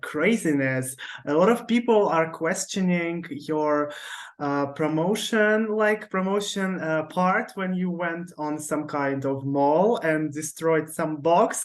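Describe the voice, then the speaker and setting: A woman interviewing a singer, asking brisk, prompting questions. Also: sits low.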